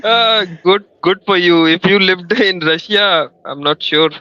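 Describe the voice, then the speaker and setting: A man's voice: high-pitched, measured pace, pushed and bright, clear, resonant tone, no echo, highs cut off. A man from Madhya Pradesh in India, a book club participant, telling stories eagerly with plenty of fillers. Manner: hesitant, enthusiastic storytelling with many fillers. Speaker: reading group participant. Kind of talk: casual group discussion.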